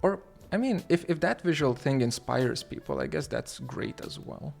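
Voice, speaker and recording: Gravelly-voiced man chatting on a livestream. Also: on the high side, lively intonation, darkish tone, soft background music. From Lithuania. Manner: halting, many fillers and restarts, reads chat aloud. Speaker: livestream host. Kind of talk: casual livestream chat.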